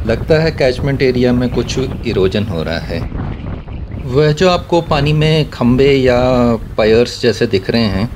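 A man's voice: mid-range voice, expressive, measured pace, darkish tone. Man chatting while driving. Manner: chatty, wry remarks about traffic manners. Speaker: vlogger giving a city driving tour. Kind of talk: casual running commentary while driving. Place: inside a car driving through a city in rain.

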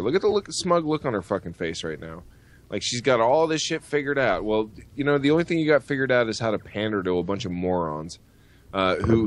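A man hosting a podcast, speaking bluntly with heavy swearing in a ranting, casual style. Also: on the low side. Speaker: podcast host. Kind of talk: ranting, profane podcast chat.

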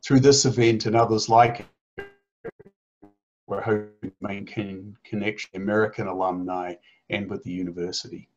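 Host type: middle-aged man, husky and low, formal scripted intros, dull call audio. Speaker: event host introducing speakers. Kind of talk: read introduction. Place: indoor room with virtual city backdrop. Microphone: laptop webcam on video call.

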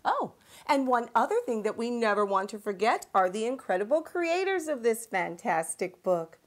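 A middle-aged woman hosting a children's read-aloud, with expressive, emphatic and encouraging storytelling. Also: mid-range voice.

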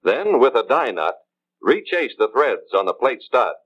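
An American man reading scripted instructional narration in a measured, formal way, as in a 1950s training film. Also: medium pitch, sing-song, breathy edge. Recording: dull 1950s film soundtrack.

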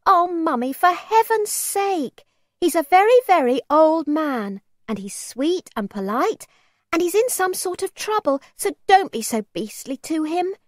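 A woman voicing an embarrassed, soft-hearted child in a storybook, earnestly imploring a parent. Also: very high-pitched, sing-song, measured pace, dry recording, studio quality, voice pushed up, full character voice. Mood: pleading, exasperated. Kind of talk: storybook character voice.

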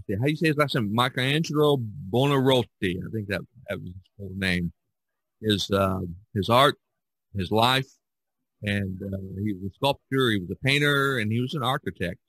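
An American man hosting a podcast in a conversational, halting and hesitant way. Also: on the low side, bright, forceful tone, dry recording, rough recording. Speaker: podcast host.

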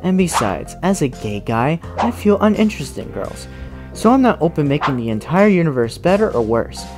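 A woman's voice: low voice, big pitch swings, normal speed, over music. A woman voicing an earnest, exasperated teenage schoolboy in an anime parody dub.

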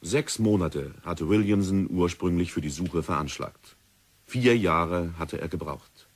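A male narrator tells the story in a measured, sober way. His voice is very deep and husky, like a vintage radio documentary. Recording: old radio play recording.

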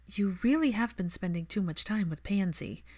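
A woman's voice: mid-range voice, animated, moderate pace, a little mellow, natural voice. A woman voicing a brash, nosy young woman who teases her best friend gleefully in an audiobook. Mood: teasing. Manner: exclamatory, gleeful teasing.

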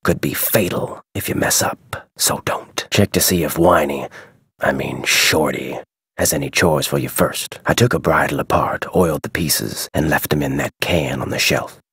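An actor playing a gruff, guarded head wrangler in a video game, with a terse, folksy American Western drawl. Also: male voice, rumbling low, moderate pace, fairly bright tone, dry recording, clean studio sound, exaggerated voice. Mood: gruff, instructing. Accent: American Western cowboy drawl. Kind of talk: scripted game dialogue.

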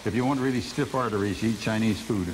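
A man's voice: on the low side, fast talker, a loud crowd, poor audio. An older man hosting a comic cooking show, folksy and rambling, with a dry sense of humor.

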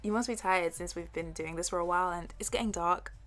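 A woman's voice: on the low side, quick pace, a bit bright, soft background music, lightly acted. A young woman playing a flustered, playful artsy college girl with a crush, stammering and giggly in an intimate audio roleplay. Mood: shy, teasing. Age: young adult.

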